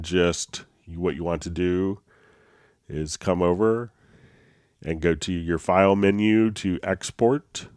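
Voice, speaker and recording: A deep-voiced man leads a live software tutorial, nasal and congested from a slight cold. He explains things in a loose, easygoing way, often pausing on fillers. His voice sounds dry and close, with no room around it. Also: no room sound. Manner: easygoing, with many fillers and restarts. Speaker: host.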